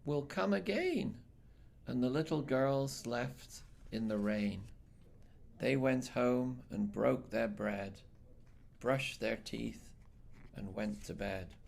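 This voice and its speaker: A man reading a children's picture book aloud in an expressive, measured storytelling style with dramatic phrasing. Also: medium pitch, creaky. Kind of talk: expressive bedtime read-aloud narration.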